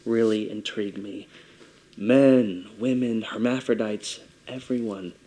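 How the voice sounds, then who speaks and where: Soft-voiced man in a confessional monologue. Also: mid-range voice. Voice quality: soft, feminine-sounding voice. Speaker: monologue performer.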